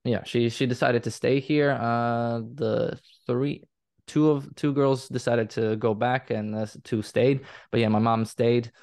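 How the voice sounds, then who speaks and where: A man's voice: medium pitch, fairly even intonation, a little slow, darkish tone. A young man from Croatia with a Croatian accent, chatting as an interview guest and rambling a little, with lots of fillers and restarts. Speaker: guest, content creator. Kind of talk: casual interview chat.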